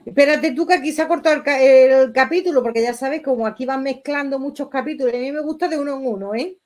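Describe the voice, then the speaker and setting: A middle-aged woman with a Spanish accent from Spain reacts out loud in a casual, chatty style. Her voice sits fairly high for a woman and her delivery is expressive and full of exclamations. She is recorded in a small room at home. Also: fast. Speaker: reaction video host. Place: small bedroom indoors.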